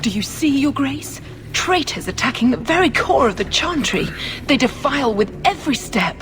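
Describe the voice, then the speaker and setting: A woman's voice: sits high, very animated, normal speed, fairly bright tone, soft background music. A woman playing a zealous, self-righteous young cleric with grandiose, preaching delivery in a fantasy video game. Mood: indignant.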